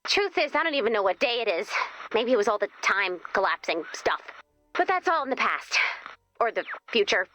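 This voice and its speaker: A woman voicing a perky, flustered, quirky young heroine in an expressive, comically dramatic video game style. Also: fairly high pitch, normal speed, no room sound, soft background music, low-quality recording. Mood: earnest. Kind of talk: animated video game voice acting.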